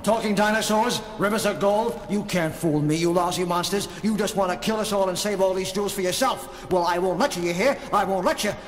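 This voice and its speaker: An actor playing an excitable, quarrelsome male starship crewman with an indignant manner in a 1970s audio drama. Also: high-pitched, fairly quick, few pauses, close to an everyday voice. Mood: hysterical, angry. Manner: indignant, blustering. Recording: reverberant sound with music behind.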